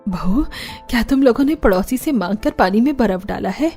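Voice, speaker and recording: A woman's voice: a little high, expressive, moderate pace, warm tone, faint music behind. A woman voicing an older Indian mother-in-law, tired but easily delighted, in a Hindi cartoon story.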